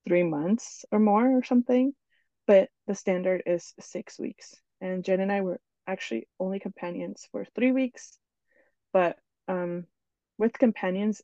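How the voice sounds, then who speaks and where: A young woman who grew up in New Jersey, co-hosting a podcast and explaining things in a friendly, relaxed way over slightly muffled audio. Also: on the low side, no echo. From born in Ecuador, raised in New Jersey. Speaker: podcast co-host. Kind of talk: casual chat.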